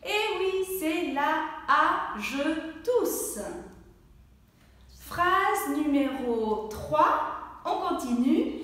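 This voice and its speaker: A woman teaching a language lesson, speaking slowly and clearly, acting out coughs and sneezes and encouraging the viewer directly. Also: high voice, animated, fairly bright tone. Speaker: French teacher. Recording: very echoey room, voice from far off the mic.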